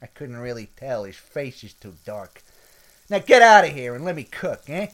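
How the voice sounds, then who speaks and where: A man's voice: medium pitch, moderate pace, darkish tone, lightly acted. A man playing an irritable, foul-mouthed cook in an adult comedy sketch, blunt and grumbling. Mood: grumpy.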